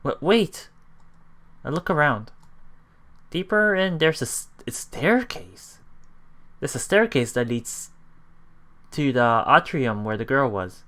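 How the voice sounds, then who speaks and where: A man's voice: medium pitch, lively intonation, slow pace, pauses often, sharp tone. A man hosting a let's play, reading a visual novel aloud and adding casual reactions, sometimes repeating himself. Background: game music.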